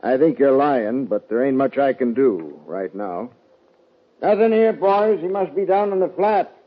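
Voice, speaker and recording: Folksy sheriff on tinny radio. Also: male voice, mid-range voice, sing-song. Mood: suspicious, then resigned. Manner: folksy drawled phrasing, deliberate. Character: folksy, suspicious, plain-spoken male western sheriff. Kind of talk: 1950s western radio drama acting. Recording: thin, narrow-band old radio recording.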